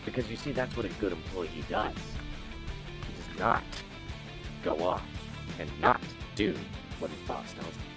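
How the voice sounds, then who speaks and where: A man's voice: deep voice, a little slow, long pauses, music in the background. A man playing a smug, menacing gang boss in an audio drama, taunting and condescending as he draws out his words. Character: smug, menacing male boss of a hit squad.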